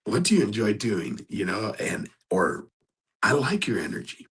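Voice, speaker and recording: A hoarse man hosting a motivational coaching talk, speaking in an earnest, exhorting and conversational way. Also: lowish voice, big pitch swings, normal speed, a bit bright. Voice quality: gravelly, husky. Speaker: host.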